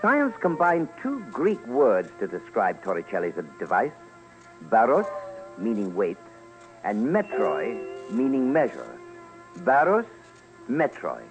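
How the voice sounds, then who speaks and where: Animated male radio documentary narrator. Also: medium pitch, slow, over music, rough recording. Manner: theatrical, emphatic. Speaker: commentator. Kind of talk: dramatic scripted documentary narration.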